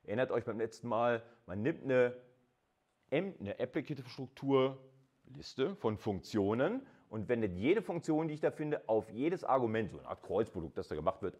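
A male university lecturer with a fairly low voice. He explains step by step in an informal, colloquial style, speaking straight to his listeners as if in conversation. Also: darkish tone.

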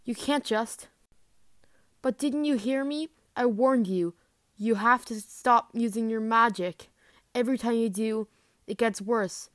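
An anxious young male dragon, acted by a woman, pleads in an urgent, halting way. The sound is dry, in the manner of an amateur audio drama audition. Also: fairly high pitch, no echo, pitched above the performer's own voice, lightly acted. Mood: pleading, alarmed.

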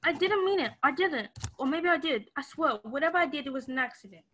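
A woman's voice: high voice, animated, fast, a bit bright, a little hiss or hum. A young woman playing a meek, panicky maid pleading her innocence in a radio play. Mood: panicked confusion. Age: young adult.

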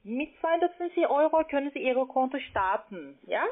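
A call centre agent, a woman speaking with a foreign, non-native accent, halting and searching for words on a phone call. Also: sits high, expressive, a crowd in the background. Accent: foreign, non-native German. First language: not German. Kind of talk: unscripted phone sales call. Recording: narrow tinny phone line.

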